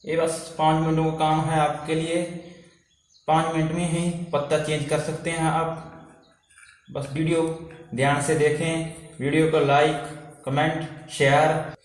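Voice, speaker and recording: A man's voice: a little high, little pitch movement, unhurried, long pauses. A man presenting an informal hands-on repair tutorial, explaining step by step and repeating himself as he goes. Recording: very echoey room, faint background noise.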